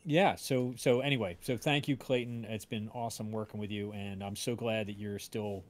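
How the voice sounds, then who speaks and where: A man's voice: fairly low pitch, fairly even intonation, moderate pace. A man hosting a casual livestream, talking in a rambling, hesitant way. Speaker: audio drama creator hosting livestream.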